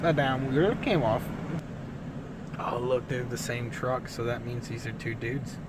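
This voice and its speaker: A man filming from inside a car, joking in an excitable, profane way and swinging between loud outbursts and low mutters. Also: measured pace. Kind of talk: casual joking commentary. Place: inside a vehicle. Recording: noisy car cabin recording.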